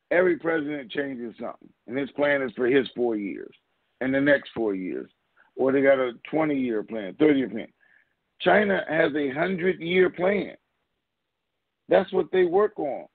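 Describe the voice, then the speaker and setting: A man's voice: fairly high pitch, vocal fry, no room sound. A man co-hosting a call show, giving informal opinions emphatically with repeated phrases and rhetorical questions over a phone conference line. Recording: muffled lo-fi conference call audio.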